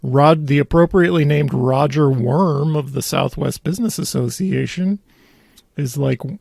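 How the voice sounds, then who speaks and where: Very gravelly, husky man chatting. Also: on the high side, warm tone, no echo, clean studio sound. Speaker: podcast host. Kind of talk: casual conversational podcast commentary.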